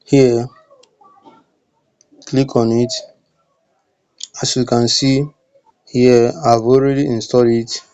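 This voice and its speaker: A man's voice: medium pitch, flat delivery, slow pace, pauses often, mellow, clear, resonant tone, highs cut off. A man narrating a step-by-step app tutorial in plain, short instructions.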